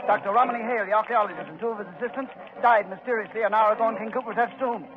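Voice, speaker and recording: An actor playing a brash, excitable newspaperman with urgent, punchy 1930s radio delivery. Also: high voice, rapid-fire, narrow, tinny audio, lightly acted. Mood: excited, urgent. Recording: phone-thin old radio, music behind.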